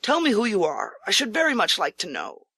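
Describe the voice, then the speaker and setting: A woman plays a kindly traveling priest of secret noble rank in an old storybook tale. The delivery is earnest and formally polite, in a bright voice. The recording is dry, with no room sound. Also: medium pitch, huge pitch swings, brisk, breathy edge, dry recording, heavily acted. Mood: earnest, insistent. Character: noble male regent disguised as courteous traveling priest. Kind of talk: audiobook character acting.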